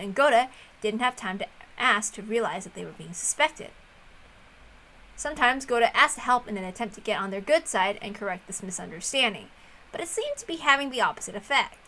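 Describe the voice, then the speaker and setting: A woman reading a story aloud as narrator, in an expressive storytelling style. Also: mid-range voice, low-quality recording. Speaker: reader of a visual novel.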